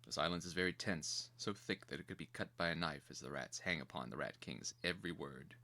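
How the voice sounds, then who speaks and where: Man, deep bass pitch, crisp-edged bright tone, scripted dramatic narration, measured pacing.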